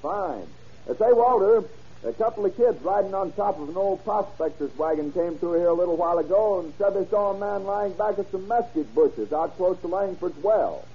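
High-voiced husky small-town man. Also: moderate pace. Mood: earnest, matter-of-fact. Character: rural desert-town local man reporting to the sheriff. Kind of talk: 1930s radio acting. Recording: muffled old radio recording.

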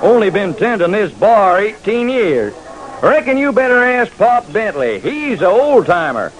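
A man's voice: high voice, sing-song, unhurried, rough recording. A man playing a laconic small-town bartender with a folksy rural Western American drawl in an old-time radio drama. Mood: laconic, helpful. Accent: rural American West. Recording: faintly hissy vintage radio recording.

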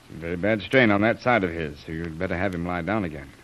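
An actor playing a grave, kindly jungle doctor in an old radio drama, measured and a little hesitant. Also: male voice, sits low, fairly bright tone. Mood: concerned. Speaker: doctor character. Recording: noisy old radio recording.

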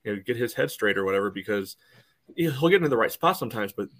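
Man, fairly low pitch, blunt, casual podcast sports debate, conversational filler phrases. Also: animated, quick pace, a bit bright. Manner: blunt, peppers talk with "you know". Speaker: soccer podcast panelist.

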